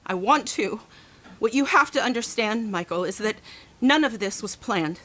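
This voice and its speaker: A wounded grown daughter in a radio drama. She speaks in a slightly high voice, halting and emotional, frank but defensive. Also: expressive, fairly bright tone. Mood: resigned, bitter.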